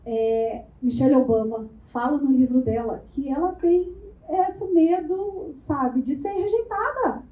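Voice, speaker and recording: A Brazilian woman giving a lively networking workshop, talking playfully and firing quick questions at the room. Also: high voice, lo-fi sound. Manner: animated, playful, asks the room rapid questions. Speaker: workshop speaker. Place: event room before a live audience. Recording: echoey room.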